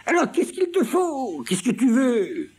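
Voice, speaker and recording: A man's voice: very high-pitched, wildly swooping pitch, measured pace, a little mellow, slightly rough, voice pushed up, full character voice. A man voicing an anxious older farmer, fussy and fond of his goat, exclaiming and fretful. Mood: pleading.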